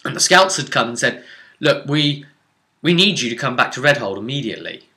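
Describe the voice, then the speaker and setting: A man's voice: medium pitch, expressive, a little fast, sharp tone. A man recounting a tabletop fantasy game as game master, telling it like a story with asides to the listener. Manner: storytelling with rhetorical asides to the audience.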